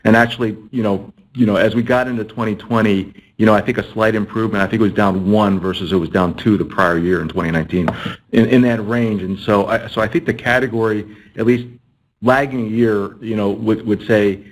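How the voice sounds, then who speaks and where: A man's voice: lowish voice, fairly even intonation, fairly quick, poor audio. A man, a company executive, answering analyst questions on an earnings call and often repeating words as he thinks.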